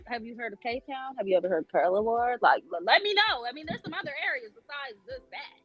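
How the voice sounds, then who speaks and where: Chatty Chicago woman, slightly high voice. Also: animated, fairly quick, lo-fi sound. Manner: chatty, storytelling, many fillers. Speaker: podcast co-host. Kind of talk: casual chat.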